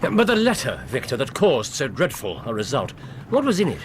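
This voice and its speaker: An actor in a radio drama playing a concerned, inquisitive young English gentleman. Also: mid-range voice, wildly swooping pitch, normal speed, noisy background. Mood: puzzled, inquisitive.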